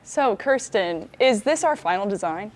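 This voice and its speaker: A woman's voice: medium pitch, expressive, a little slow, a little hiss or hum. A young woman student hosting a casual walkthrough of a class project in a university lab, talking upbeat and friendly. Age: young adult. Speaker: student host of the video. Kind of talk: casual presenting. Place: university engineering lab, indoors.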